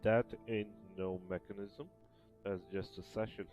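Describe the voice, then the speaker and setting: A man's voice: lowish voice, fairly even intonation, a little slow, a little mellow. A man giving casual gameplay commentary as a gaming streamer. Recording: game music behind the voice.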